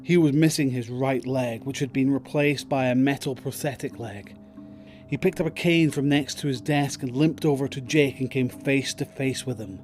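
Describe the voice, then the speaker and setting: A man reads scripted narration for an audio drama. His voice is mid-pitched, with a light bed of music behind it. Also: a little mellow.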